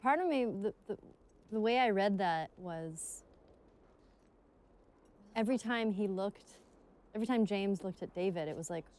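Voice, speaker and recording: A woman's voice: medium pitch, lively intonation, measured pace, long pauses, darkish tone. A woman chatting casually as a performer giving commentary on a game.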